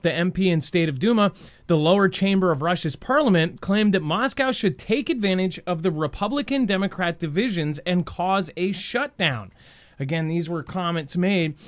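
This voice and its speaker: A man with a notably high voice hosts an independent-style news show. He delivers commentary in a conversational way, speaking informally and directly to the people watching. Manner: informal, addresses viewers directly.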